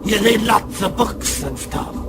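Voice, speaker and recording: An actor playing a sly, sardonic palace official in a radio drama. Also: male voice, high-pitched, expressive, measured pace. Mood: scheming. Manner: sardonic, dry. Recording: loud background noise.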